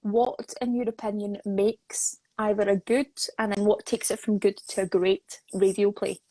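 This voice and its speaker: A woman's voice: medium pitch, flat delivery, moderate pace, warm tone, dry recording, low-quality recording. Woman hosting, close dry sound. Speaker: interview host. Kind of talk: casual live interview. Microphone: video call.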